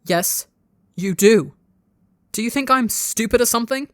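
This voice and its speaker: A woman's voice: lowish voice, measured pace, no room sound, studio quality, close to an everyday voice. A woman voicing a hot-tempered but guilt-ridden young man in a dramatic story reading, stammering in broken restarts. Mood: angry, snapping. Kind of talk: dramatic audiobook-style character dialogue.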